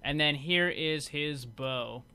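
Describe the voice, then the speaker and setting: A man's voice: a little high, takes it slowly, pushed and bright. A man giving casual, unscripted commentary as host of a gaming video. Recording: clear background noise.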